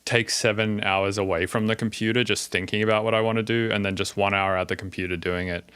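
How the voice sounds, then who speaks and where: A man's voice: fairly low pitch, little pitch movement, fast talker, a bit bright, clean tone, dry recording. A man hosting a podcast in laid-back casual chat, full of fillers and casual swearing. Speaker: podcast host.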